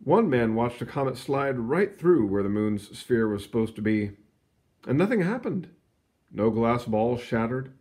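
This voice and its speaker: A man reading a children's science book aloud in an expressive storytelling manner, stretching words for emphasis, with casual asides. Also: mid-range voice. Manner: animated, storytelling delivery with drawn-out emphasis. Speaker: read-aloud reader.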